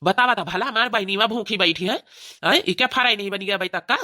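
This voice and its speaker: A woman's voice: mid-range voice, quick pace, pushed and bright, lightly acted. A woman voicing a blustering, indignant village husband, protective of his mother and sister, with an Awadhi accent in a comedy cartoon.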